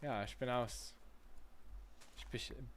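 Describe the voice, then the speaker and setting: A man's voice: medium pitch, steady tone, slow, slight background noise. A man chatting casually on a gaming livestream, playful, reading chat aloud and laughing often. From United States.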